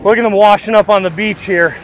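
A middle-aged man vlogging while fly fishing from an ocean beach, talking casually to his chest camera and breaking into excited shouts when fish hit. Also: high voice, fairly quick. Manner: excited bursts of exclamation while fighting fish. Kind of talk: casual self-narrated fishing vlog. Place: outdoors on an ocean beach in the surf. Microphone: action camera on a chest harness. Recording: noisy outdoor beach audio with surf. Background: loud crashing surf and wind.

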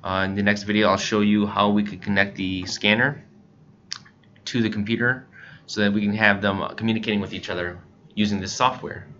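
A man narrates a casual how-to tutorial in a fairly low voice. His tone has a bright, forceful edge. The recording is somewhat dull and lo-fi. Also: steady tone, fairly quick. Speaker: software tutorial presenter. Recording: dull lo-fi mic, background noise.

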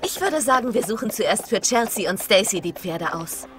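A woman's voice: medium pitch, lively intonation, normal speed, background noise, close to an everyday voice. A woman voicing a welcoming, proud aunt who runs a riding academy in a German cartoon dub, encouraging and hospitable. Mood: warm, encouraging. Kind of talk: German animated film dub. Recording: music clearly under the dialogue.

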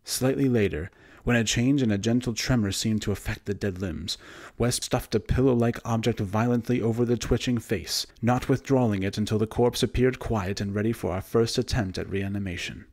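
A man narrating a horror story as an audiobook reader, in a measured, formal literary manner. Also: fairly low pitch, steady tone, darkish tone.

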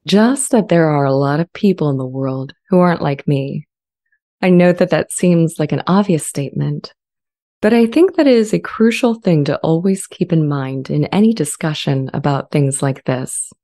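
A woman performs a sentient artificial intelligence in a dramatized dialogue. The voice is low and soft-edged, with a mellow tone. It speaks serenely and reflectively, in measured, gentle phrases. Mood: serene, thoughtful. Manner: measured, calm, reflective delivery. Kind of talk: dramatized transcript reading. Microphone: large studio condenser mic up close.

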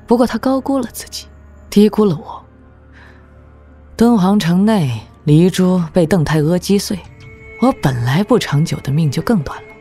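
A woman playing a proud, formidable martial-arts demon lord in an audio drama, with an imperious, measured delivery. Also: lowish voice, lively intonation, dark tone, breathy edge, soft background music. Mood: grave, cool.

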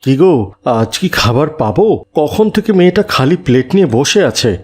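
A man voicing a kindly, pious father in a children's cartoon, calm and devout. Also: a little high, normal speed, husky. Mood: gentle.

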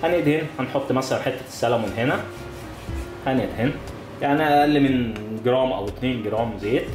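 Fast-talking Egyptian man cooking on camera. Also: medium pitch, reverberant, music in the background. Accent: Egyptian Arabic. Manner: chatty, explains each step while cooking. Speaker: cooking show host. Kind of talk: casual cooking demonstration. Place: home kitchen at the stove.

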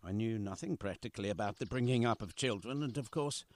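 A man's voice: lowish voice, fast talker, natural voice. A man playing a doting, whimsical and anxious father in a radio play, fond and fussing. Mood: earnest, tender.

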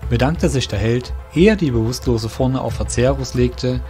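A man's voice: medium pitch, normal speed, dark tone. A man narrating a scripted fantasy audio drama as storyteller. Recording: music under the voice.

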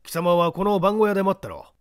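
A man's voice: high voice, expressive, brisk, clean tone, no echo, clean studio sound, voice pushed up. A man voicing a middle-aged railway chief and scolding husband in a storybook reading, curt and brusque. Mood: irritated.

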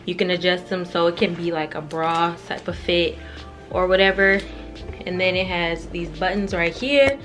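A woman's voice: fairly low pitch, moderate pace, fairly bright tone. A young woman vlogging a fashion try-on in a bedroom, chatting casually and candidly with slang and swearing. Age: young adult. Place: carpeted bedroom indoors. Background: music.

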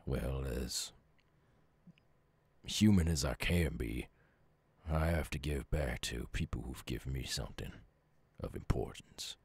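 Man voicing a very deep, gravelly Southern werewolf. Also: measured pace, pauses often, a little mellow, exaggerated voice. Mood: grateful, earnest. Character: gruff, lonely, gentle Southern male werewolf. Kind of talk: ASMR audio roleplay.

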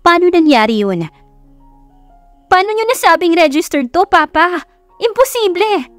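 A woman's voice: very high-pitched, big pitch swings, measured pace, dry recording, faint music behind, voice pushed up, heavily acted. A woman voicing a flustered, shocked young daughter in an audio romance story, with startled, questioning exclamations. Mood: shocked, panicked.

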